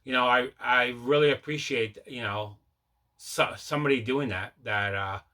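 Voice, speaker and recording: A man's voice: medium pitch, normal speed, bright, forceful tone. A man hosting a casual unboxing vlog, chatting enthusiastically and often repeating himself. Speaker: host of a collecting video show.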